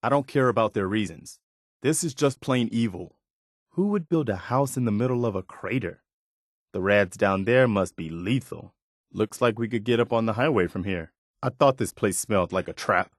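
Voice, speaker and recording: An actor playing an earnest, dutiful militia soldier in a post-apocalyptic video game, speaking in a plain, folksy way. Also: male voice, on the low side, expressive, normal speed, no echo, clean studio sound. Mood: earnest, wary. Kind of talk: video game companion voice lines.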